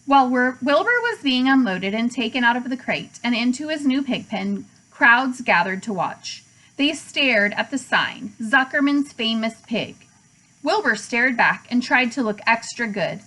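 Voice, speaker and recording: Higher-pitched woman reading a storybook aloud. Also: animated, normal speed, pushed and bright, poor audio. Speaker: teacher reading a children's book aloud. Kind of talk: read-aloud storybook narration.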